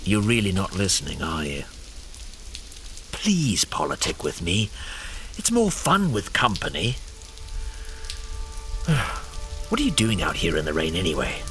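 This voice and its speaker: An actor playing a sly, playful courtly schemer in an audio drama, talking in flowery, teasing phrases with theatrical flair. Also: male voice, sits low, wildly swooping pitch, a little slow, long pauses, music in the background, exaggerated voice.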